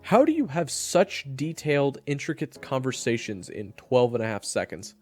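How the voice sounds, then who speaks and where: A man's voice: mid-range voice, big pitch swings, moderate pace, faint music behind. A man playing a bitter, blunt monster hunter who talks in weary slang in an audio roleplay drama. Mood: impatient.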